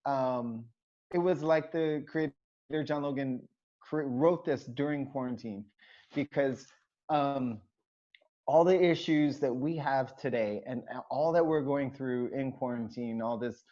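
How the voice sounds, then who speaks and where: A man's voice: mid-range voice, a little slow, mellow. A man from the United States, raised in Hawaii, a choreographer and dancer chatting casually as an interview guest, rambling with many fillers and restarts. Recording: dull lo-fi sound.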